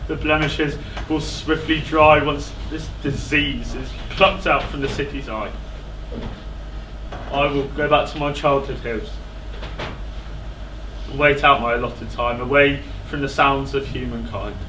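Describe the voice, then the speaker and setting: A man on stage playing an anguished, self-loathing fallen king in a classical tragedy, declaiming in halting, pleading phrases. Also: medium pitch, steady tone, sharp tone. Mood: resigned sorrow. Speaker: stage actor. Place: indoor theatre stage. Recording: echoey theatre, distant microphone, faint noise.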